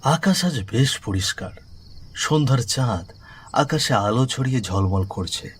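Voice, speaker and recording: A man's voice: medium pitch, lively intonation, a little slow, breathy edge. A man giving scripted narration of a horror story. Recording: music behind the voice.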